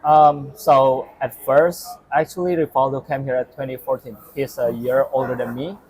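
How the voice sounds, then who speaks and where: A man's voice: mid-range voice, level tone, normal speed, a little mellow, husky, low-quality recording. A young man, an assistant coach, recounting his path in a casual chat with many ums and hesitations. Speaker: former player and assistant coach.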